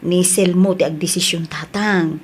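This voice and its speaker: A woman's voice: lowish voice, steady tone, moderate pace, slightly rough. A woman with an Ilocano accent playing a respectful, generous young daughter-in-law in an audio drama. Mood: respectful, bashful.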